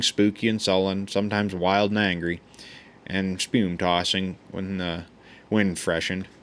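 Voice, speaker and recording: A man reads a memoir aloud with a somewhat low voice. He takes his time in a folksy, easygoing manner, often tripping over a word and starting it again. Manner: unhurried, folksy, with frequent stumbles and restarts. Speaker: audiobook reader.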